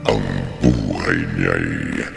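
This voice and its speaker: A man with a Filipino accent narrating a horror radio drama in an ominous, theatrical, gravelly style, very slowly. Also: very deep voice. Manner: slow, theatrical. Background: music.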